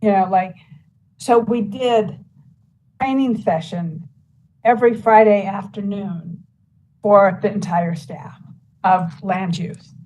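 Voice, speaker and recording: A woman's voice: medium pitch, slow, pauses often, mellow, highs cut off, slight background noise. A woman county commissioner speaking at a public meeting, rambling and filling her talk with "you know" and "like". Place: meeting room.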